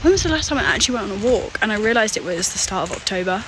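A woman's voice: mid-range voice, expressive, racing. A woman vlogging outdoors on a hike, talking in a casual, reflective way. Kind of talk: casual reflective chat while hiking. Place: outdoors on a hiking trail. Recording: very noisy outdoor wind and water sound.

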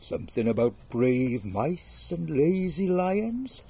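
A man's voice: a little high, very animated, takes it slowly, gravelly, warm tone, slight background noise, voice pushed up, full character voice. A man voicing a huge, imperious male lion with a teasing manner in a storybook fable. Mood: menacing.

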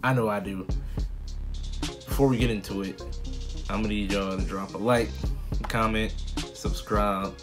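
An American man around his thirties giving casual, slangy reaction commentary as a video host, expressive and full of exclamations. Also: lowish voice, a little slow, long pauses, over music. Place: small bedroom indoors.

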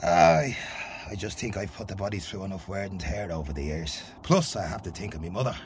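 An actor playing a devoted, bashful working man who lives with his mother, halting and self-deprecating, with an Irish accent, in a radio play. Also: deep voice, background noise, lightly acted. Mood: wry, reluctant. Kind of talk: radio play acting, naturalistic dialogue.

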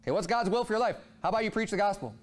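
Animated high-voiced preacher man. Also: racing. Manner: animated preaching, voice rising and falling a lot. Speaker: pastor. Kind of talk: sermon. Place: church sanctuary, speaking at a pulpit.

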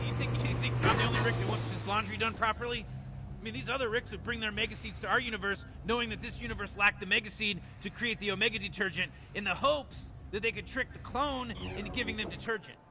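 A fast-talking, smug old mad scientist, played by a man, rambling through his schemes with dismissive confidence. His voice sits fairly high and rushes along. Loud traffic and street noise run underneath. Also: lightly acted. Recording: noisy outdoor recording with traffic.

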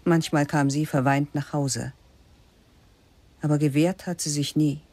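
A woman reads a literary radio drama slowly and sparely, with many pauses, her voice husky and breathy. She often sinks to a near-whisper on close studio mic. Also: low voice, fairly even intonation, mellow, no room sound. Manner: slow, measured, spare delivery, often dropping to a whisper. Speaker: narrator. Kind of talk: scripted literary narration for a radio play.

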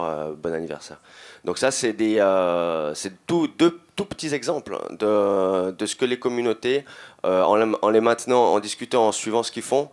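Low-voiced man, halting panel speaker. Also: a little mellow. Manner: hesitant, halting delivery with frequent self-repetition. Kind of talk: conference panel talk. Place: indoor conference stage. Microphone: handheld microphone held close.

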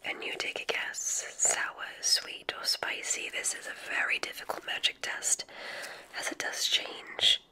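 Woman whispering a slow ASMR roleplay. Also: poor audio. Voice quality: breathy whisper. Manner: gentle, soothing, deliberate, encouraging.

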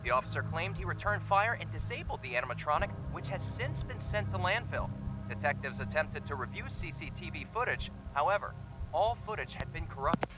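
Eighties TV newsman, played by a man, formal and measured, high-pitched with lively inflection, for horror bulletin. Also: over loud music, close to an everyday voice, rough recording. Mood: formal, grave. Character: grave male TV news reporter on a 1980s broadcast. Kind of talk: scripted news report.

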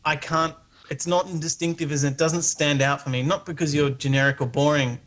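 A man's voice: a little high, fairly even intonation, a little fast, fairly bright tone. A man with an Australian accent, a guest on a live internet show, talking in a casual, rambling, good-natured and hesitant way. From Melbourne, Australia. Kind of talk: casual unscripted chat.

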